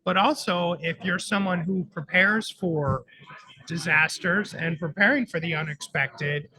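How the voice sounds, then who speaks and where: A man's voice: high-pitched, a little slow, bright, forceful tone, poor audio. A man co-hosting a live radio show from a conference, talking in a chatty, upbeat way. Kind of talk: casual live radio chat. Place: conference floor broadcast.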